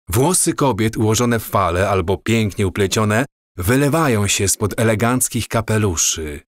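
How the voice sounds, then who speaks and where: A man acts out a dreamy wanderer in a radio play, describing things with quiet wonder. His voice is fairly low and very unhurried, in a dry recording. The delivery is wistful and measured. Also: no echo, lightly acted. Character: dreamy man wandering awestruck through prewar Warsaw. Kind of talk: audio drama, descriptive monologue.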